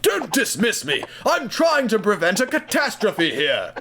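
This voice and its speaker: A man voicing an old railway truck in an audio drama, squeaky and creaky, indignant and pleading in formal old-fashioned phrasing, over music. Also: wildly swooping pitch, unhurried, background noise, full character voice. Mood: desperate, outraged.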